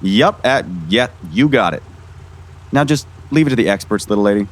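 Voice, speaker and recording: Weary folksy sheriff, acted by a man, low pitch, expressive swings, patronizing, radio drama. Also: normal speed, lightly acted. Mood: evasive. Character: Sheriff Murphy, condescending, weary small-town male sheriff. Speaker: voice actor. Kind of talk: audio drama acting.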